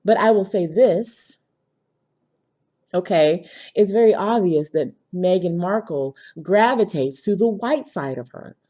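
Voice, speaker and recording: A woman with a fairly low voice speaks as a video commentator giving her opinion casually. She is blunt and emphatic, driving points home with short tag questions. A cold leaves her slightly hoarse. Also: animated, unhurried, warm tone.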